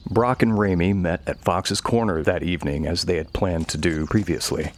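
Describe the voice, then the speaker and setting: A man's voice: sits low, fairly even intonation, moderate pace. A man giving scripted narration of a horror audio story.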